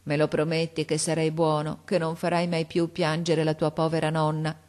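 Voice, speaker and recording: A woman's voice: completely flat delivery, normal speed, a little mellow, natural voice. A woman voicing a frail, paralysed old grandmother who begs her grandson in a tearful, imploring way, her voice lifted above her own. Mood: frightened. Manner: tearful, imploring, raised pitch.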